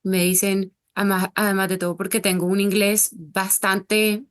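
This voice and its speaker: A woman's voice: mid-range voice, flat delivery, a little slow. Earnest Colombian woman, interview guest. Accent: Colombian Spanish. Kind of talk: casual interview conversation.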